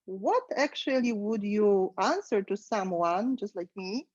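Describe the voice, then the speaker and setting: A woman hosting a podcast interview, enthusiastic and deliberate as she introduces her guest. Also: mid-range voice, lively intonation, a little mellow, no echo, muffled recording. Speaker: podcast host.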